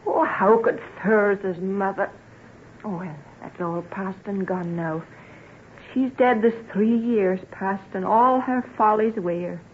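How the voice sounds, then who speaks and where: A woman's voice: medium pitch, takes it slowly, close to an everyday voice. An actress playing a plain-spoken, sorrowful woman in an old-time radio drama. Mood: grieving, awed. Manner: earnest rustic dialect storytelling. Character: plain-spoken sorrowful country woman, devoted to her family. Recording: tinny, noisy old radio recording.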